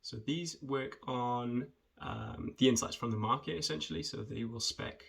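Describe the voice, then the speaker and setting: A man's voice: mid-range voice, moderate pace, creaky, a bit bright, breathy edge. Young man, informal presenter. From rural southwest Wales. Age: 20s. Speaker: junior footwear developer. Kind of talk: informal self-introduction video for students.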